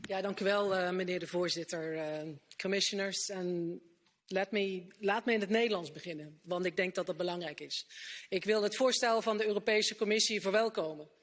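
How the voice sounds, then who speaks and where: A woman's voice: mid-range voice, brisk. Woman giving a parliamentary speech. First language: Dutch. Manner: earnest, appealing for unity, firm on her concern. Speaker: member of parliament. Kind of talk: prepared floor speech. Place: parliament plenary chamber. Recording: reverberant chamber.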